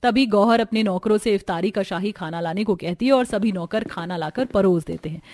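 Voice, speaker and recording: A woman reading scripted narration for an animated family story, in a storytelling style. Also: mid-range voice, brisk.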